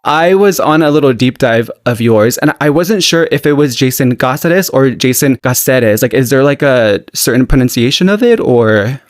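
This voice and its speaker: A man hosts a podcast with sassy energy, quick and punchy in his delivery. His voice sits close and dry on the mic with no room sound. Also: mid-range voice, lively intonation, no echo. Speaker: podcast host. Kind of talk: casual interview chat.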